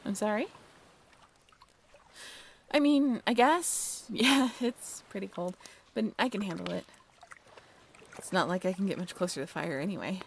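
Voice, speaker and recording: An actress plays a young half-mermaid woman in an intimate audio roleplay. Her voice sits at a middle pitch with plenty of creak, and she talks casually and haltingly, wry and a little bashful. Faint watery ambience runs behind her. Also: slight background noise, natural voice. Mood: practical, modest. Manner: casual, halting, softly self-deprecating. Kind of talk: intimate audio roleplay, one-sided conversation.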